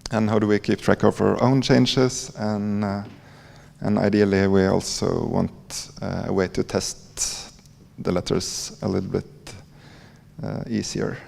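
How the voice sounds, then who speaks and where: A man with a Norwegian accent giving an informal conference presentation in a halting, hesitant way, with frequent pauses. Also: lowish voice, level tone, gravelly, a little mellow. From Norway. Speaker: conference presenter, library coder. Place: conference hall. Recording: echoey hall with long reverb.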